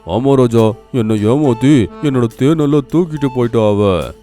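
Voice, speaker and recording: A man voicing a simple, gullible bear in an animated animal fable. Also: mid-range voice, unhurried, natural voice. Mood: aggrieved. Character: gullible, honey-carrying male bear. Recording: music behind the voice.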